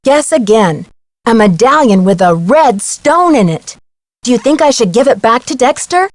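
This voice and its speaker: High-voiced, earnest young detective. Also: female voice, lively intonation, moderate pace, no room sound, studio quality. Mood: earnest, curious. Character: earnest, inquisitive young female amateur detective. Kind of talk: video game voice acting.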